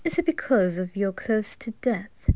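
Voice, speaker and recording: A woman voicing a smug, menacing male demon who taunts in a deliberate drawl, in an ASMR roleplay. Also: mid-range voice, animated, darkish tone, dry recording, lightly acted. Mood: taunting. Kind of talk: slow villainous ASMR roleplay acting.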